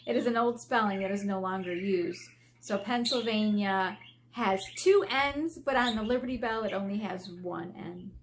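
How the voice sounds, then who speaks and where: A woman's voice: mid-range voice, normal speed, a little hiss or hum. An American woman, an elementary teacher, reading a children's book aloud and explaining it in simple, instructive terms.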